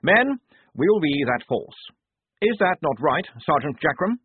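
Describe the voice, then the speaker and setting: A man's voice: a little high, sing-song, measured pace, breathy edge, no echo, voice pushed up, heavily acted. A man voicing a pompous, earnest young lieutenant. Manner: formal, precise officer's speech. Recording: tinny phone-like dry recording.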